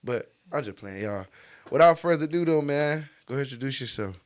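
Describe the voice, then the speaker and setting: A man's voice: medium pitch, expressive, fast. A man hosting a podcast in a casual, slangy interview style, with a joking, teasing manner. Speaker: podcast host.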